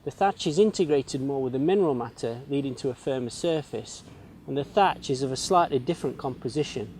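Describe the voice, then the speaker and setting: A man's voice: medium pitch, a little fast, a little mellow, a little hiss or hum. A man explaining and demonstrating as an instructor in an educational film.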